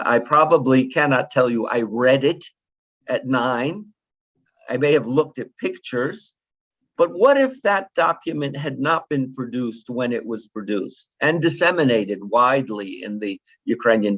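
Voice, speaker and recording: A man in his seventies giving closing remarks at a conference, speaking in a measured, digressive way with frequent fillers and restarts. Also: medium pitch, fairly bright tone, no echo. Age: 70s. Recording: dull lo-fi sound, dry.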